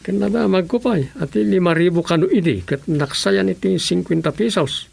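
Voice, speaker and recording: A man's voice: high voice, moderate pace, gravelly, darkish tone, breathy edge, full character voice. An actor with an Ilocano Filipino accent playing a grumbling village man in a radio comedy drama. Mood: grumbling, explaining.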